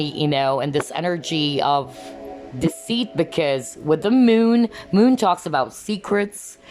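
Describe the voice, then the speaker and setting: A woman giving an earnest tarot card reading, often asking "okay?" as she talks, and her voice is going hoarse. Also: low voice, darkish tone. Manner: earnest, repeats "okay?" to viewers. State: losing her voice. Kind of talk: pick-a-card reading to camera.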